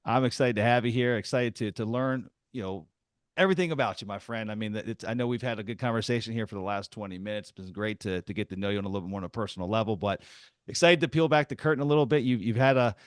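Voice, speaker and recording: Energetic podcast host man, dry sound. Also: fast, clear, resonant tone, no room sound. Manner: energetic pitch, then rambling restarts when asking. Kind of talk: podcast interviewing and read ad.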